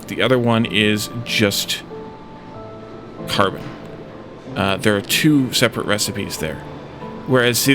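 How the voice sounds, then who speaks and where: A man giving casual gameplay commentary, thinking aloud and musing his way through problems as a let's play host. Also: mid-range voice. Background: background music.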